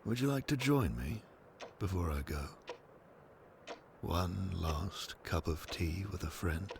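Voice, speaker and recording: An actor playing a very old, lonely man who speaks in a halting, wistful and resigned way in an audio drama. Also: low-pitched, lively intonation, gravelly, background noise, heavily acted. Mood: resigned, gentle. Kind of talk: audio drama character acting.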